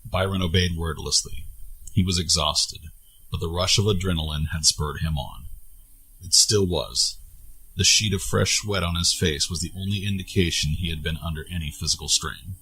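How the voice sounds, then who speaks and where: Very deep-voiced male story narrator. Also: normal speed, bright, forceful tone, slight background noise, rough recording. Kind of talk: scripted fantasy story narration.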